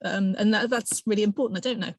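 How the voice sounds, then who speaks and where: A woman on a panel speaking haltingly and unsure, repeating words as she goes. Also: medium pitch, no room sound, studio quality. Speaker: panel participant.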